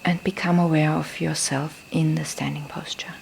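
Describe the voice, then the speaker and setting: A woman who is a non-native English speaker leads a guided meditation as a teacher, speaking softly and breathily, often near a whisper, in gentle, deliberate short phrases with pauses. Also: low-pitched, flat delivery, vocal fry, low-quality recording. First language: not a native English speaker. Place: temple in Thailand. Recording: faint background noise, live field recording.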